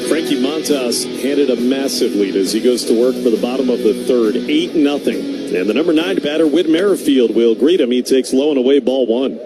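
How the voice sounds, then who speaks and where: A man's voice: mid-range voice, brisk, hardly any pauses, over music. A man calling a baseball game as live radio play-by-play.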